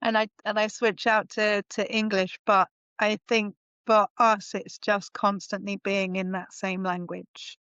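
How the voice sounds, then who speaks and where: A woman guest on a podcast who chats casually and thinks aloud, often restarting her sentences. Her voice is smooth and clear at a medium pitch. The audio is dry and a little dull. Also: little pitch movement, a little slow, hardly any pauses, fairly bright tone, no room sound. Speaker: podcast guest, marketing consultant. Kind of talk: casual conversation.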